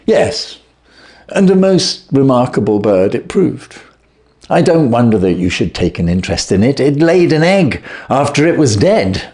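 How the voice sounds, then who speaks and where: Man reading as a calm assured gentleman detective. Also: mid-range voice, measured pace, pitched above the performer's own voice. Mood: cheery. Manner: bland, sweetly ironic, assured.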